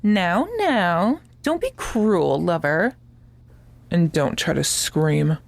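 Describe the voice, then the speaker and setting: A woman's voice: lowish voice, animated, vocal fry, dry recording, heavily acted. A woman playing a deranged, possessive stalker in an audio drama, with a sweetly menacing, taunting delivery. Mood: mock tender. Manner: cooing, taunting, deliberate.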